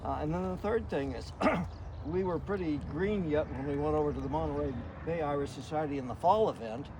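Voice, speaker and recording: A man's voice: fairly high pitch, fairly quick. A plain-spoken man giving an informal, folksy memorial tribute. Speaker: iris club member giving a tribute. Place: outdoors in a garden. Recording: noisy outdoor recording.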